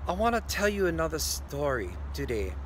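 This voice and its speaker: An older man with a Hawaiian accent telling a ghost story to the camera, slowing down and going quiet at the key moments. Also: on the high side, sing-song, slight background noise. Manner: slow, hushed at key moments. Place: green screen studio. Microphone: facing camera at close range.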